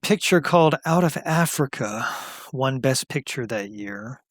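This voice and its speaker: A man's voice: fairly high pitch, lively intonation, unhurried, vocal fry, dry recording, clean studio sound. A man co-hosting a casual movie chat, easygoing as he runs through years and lists of films. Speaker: co-host.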